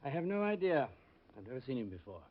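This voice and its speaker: Earnest client, muffled old radio. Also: male voice, medium pitch, big pitch swings. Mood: uneasy. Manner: formal, measured, precise. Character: earnest, worried, wealthy male client. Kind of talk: 1950s radio drama acting.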